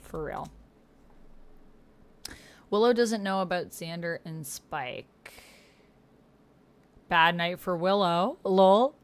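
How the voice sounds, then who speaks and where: A woman's voice: lowish voice, slow, long pauses, clear, resonant tone. A woman in her thirties chatting informally and playfully as she streams, reading out typed roleplay and reacting to it. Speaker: streamer and player. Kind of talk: casual livestream chat, reading typed roleplay aloud.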